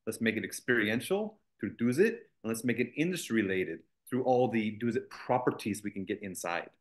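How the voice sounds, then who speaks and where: A man's voice: lowish voice, expressive, brisk. A man, a college executive as interview guest, speaking fluently with confident, joking ease. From United States. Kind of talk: interview conversation.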